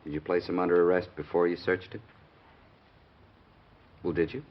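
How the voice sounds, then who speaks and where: A man playing a calm, probing police sergeant in a 1950s radio drama. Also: low voice, slight background noise, natural voice. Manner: measured, questioning. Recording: dull old radio recording.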